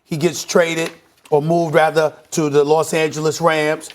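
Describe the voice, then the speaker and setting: A man hosting a televised sports debate, arguing his points in an emphatic, forceful way. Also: a little high, level tone, measured pace. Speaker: host of a sports debate show.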